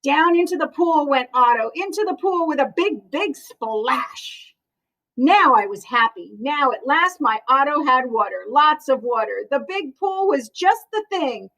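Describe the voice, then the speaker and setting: A woman reading a children's picture book aloud in an expressive, animated way, as if to young listeners. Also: high voice, measured pace, rough recording.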